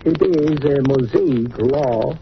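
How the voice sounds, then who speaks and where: An actor playing a formal, measured doctor in 1940s radio style, explaining things deliberately. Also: male voice, mid-range voice. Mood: solemn, patient. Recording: muffled, noisy old radio recording.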